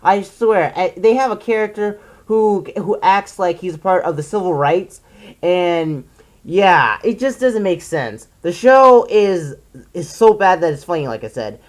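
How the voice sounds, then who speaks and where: A person giving casual, opinionated commentary as a video reviewer, in an exasperated, emphatic ranting manner with drawn-out stressed words. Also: medium pitch, animated. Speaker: video reviewer presenting a countdown list.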